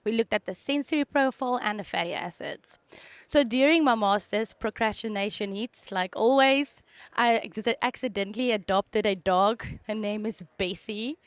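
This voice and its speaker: A woman's voice: medium pitch, moderate pace, no echo. A woman from South Africa whose first language is Afrikaans, a guest animal scientist chatting casually and telling stories. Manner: chatty storyteller, laughs between stories. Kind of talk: casual chat.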